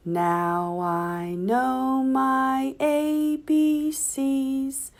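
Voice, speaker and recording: Woman singing letters very slowly. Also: high-pitched, steady tone, faint music behind. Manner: drawn-out, clearly paced, encouraging. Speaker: children's librarian leading a sing-along. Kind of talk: slow alphabet sing-along for preschoolers.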